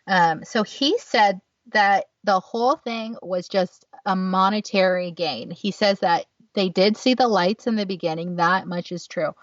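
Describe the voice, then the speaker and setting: A woman's voice: medium pitch, measured pace, hardly any pauses, no room sound. A woman co-hosting a podcast, telling stories in a chatty casual way with lots of fillers. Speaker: podcast co-host. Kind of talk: casual chat. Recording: dull, distant, dry sound.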